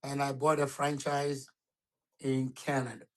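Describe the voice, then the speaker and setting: A man's voice: medium pitch, flat delivery, measured pace. A middle-aged man from Ghana chatting casually on a video call, telling his own story as a business owner.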